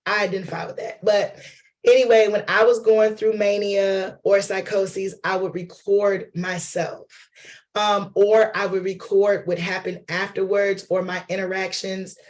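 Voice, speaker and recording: A man with a cold, losing his voice, giving a loose, chatty workshop talk as a playwright. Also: high-pitched. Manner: chatty, loose, addresses audience as y'all and honey. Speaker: lecturer and playwright.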